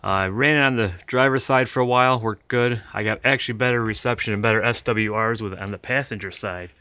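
A low, clear-voiced American man chats casually in a close, dry recording. His delivery is bright and forward, and he rambles along modestly as he shows off his equipment. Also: fast, no room sound. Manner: rambling, self-deprecating. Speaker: vlogger, former truck driver. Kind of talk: casual product showcase vlog. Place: inside a parked vehicle, later outdoors beside it.

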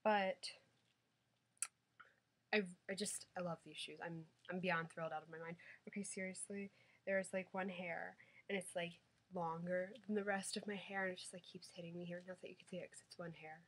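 A young woman with laryngitis, sounding hoarse and nasal, gushing excitedly in a home unboxing vlog recorded on a webcam. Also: fairly low pitch, moderate pace. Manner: excited, gushing, repeats words. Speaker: vlogger. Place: small room at home, indoors.

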